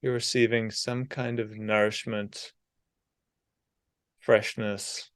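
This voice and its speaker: A man guides a meditation in a fairly low, smooth voice. His delivery is soothing and very unhurried, placing short phrases between long pauses. The voice is clean and resonant throughout. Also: little pitch movement, no room sound, clean studio sound.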